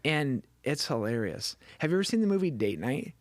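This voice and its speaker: A man's voice: mid-range voice, sing-song, no room sound. Playful man pastor, dry close sound. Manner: playful, laughing, quick to joke. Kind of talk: casual chat.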